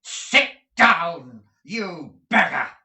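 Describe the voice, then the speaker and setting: A man's voice: low voice, steady tone, very slow, fairly bright tone, echoey room, full character voice. A man voicing a cruel, savage schoolmaster who shrieks and snarls his outbursts.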